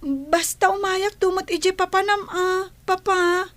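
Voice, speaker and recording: A very high, slow-speaking little girl in a radio drama. She is a sweet, eager daughter who talks politely and with wide-eyed wonder. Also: steady tone, fairly bright tone, no room sound. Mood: curious, affectionate. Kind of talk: Ilocano radio drama acting.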